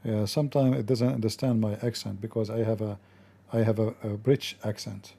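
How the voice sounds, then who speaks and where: A man's voice: lowish voice, little pitch movement, a little fast, vocal fry, mellow. A man hosting a commentary show, talking in a sarcastic, mocking way. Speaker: host.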